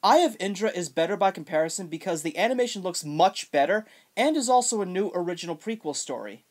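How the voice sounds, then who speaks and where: A woman's voice: on the low side, expressive, fast, darkish tone. A woman reading a scripted video game review as narration.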